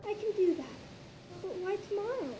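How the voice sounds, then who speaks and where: A man voicing an exasperated but fond female pony in an expressive squeaky falsetto for a fan fiction reading. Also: normal speed, music in the background, low-quality recording. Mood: agreeable.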